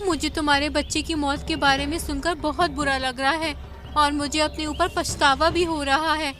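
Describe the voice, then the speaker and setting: Woman as small fable bird, female, high-pitched, bright, earnest, emphatic, cartoon. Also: fairly even intonation, moderate pace. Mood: remorseful.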